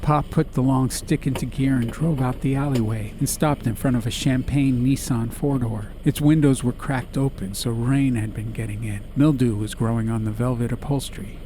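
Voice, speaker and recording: A man's voice: mid-range voice, steady tone, normal speed, hardly any pauses, warm tone, poor audio. A man narrating a scripted story as an audiobook, with ambient noise behind his voice. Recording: background ambience noise under voice.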